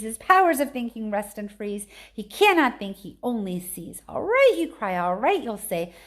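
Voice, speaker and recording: A woman's voice: mid-range voice, lightly acted. A woman voicing tiny factory workers in a children's storybook, reciting moralising verse with rhythmic, scolding emphasis. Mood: emphatic, scolding.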